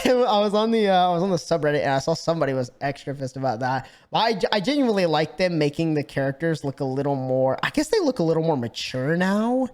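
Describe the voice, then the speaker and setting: Man with a slightly scratchy voice. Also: on the high side. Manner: animated, swears freely, frequent fillers and restarts. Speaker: gaming YouTuber. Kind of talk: casual game review.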